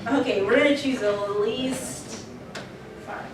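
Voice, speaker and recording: A woman's voice: fairly high pitch, slow. Woman panelist in a very echoey room. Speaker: panelist organizing a live reading. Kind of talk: casual panel chat. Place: indoor convention panel room. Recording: echoey convention room, distant mic, noisy.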